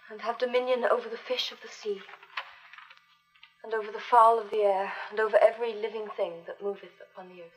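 A woman's voice: fairly high pitch, level tone, brisk, fairly bright tone, faint music behind, lightly acted, poor audio. Woman playing an earnest young Puritan daughter. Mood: dutiful reading. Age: young adult. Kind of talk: period drama film acting.

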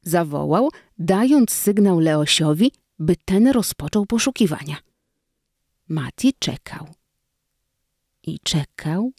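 A woman's voice: fairly low pitch, lively intonation, takes it slowly, long pauses, darkish tone, no room sound, studio quality. A woman narrating a children's story expressively, acting out the dialogue.

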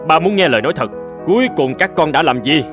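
A man's voice: fairly high pitch, expressive, fast, lightly acted. A man playing a stern but forgiving father of young boys in a cartoon. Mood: stern, questioning. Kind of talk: cartoon voice acting. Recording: music under the dialogue.

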